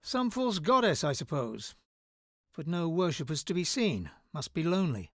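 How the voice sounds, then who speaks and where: A bookish fantasy game wizard, wry and guarded with an anxious edge. His delivery is articulate and very lively in pitch. The sound is dry and close. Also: normal speed, no echo. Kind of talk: video game voice lines.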